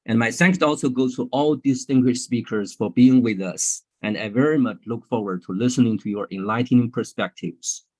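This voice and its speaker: A man's voice: mid-range voice, normal speed, few pauses. Polite man giving a webinar welcome. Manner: hesitant, polite, with fillers. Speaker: institute president giving welcome address.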